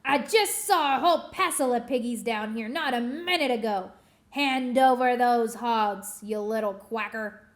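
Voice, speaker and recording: A woman's voice: high voice, animated, measured pace. A woman voicing a greedy old witch who screeches and cackles. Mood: shrill.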